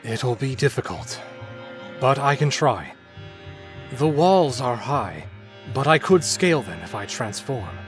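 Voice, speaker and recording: An actor playing a gentle, devoted man under a werewolf curse in a dramatic visual novel, earnest and solemn, with music underneath. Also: mid-range voice, big pitch swings, measured pace. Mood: hopeful, earnest.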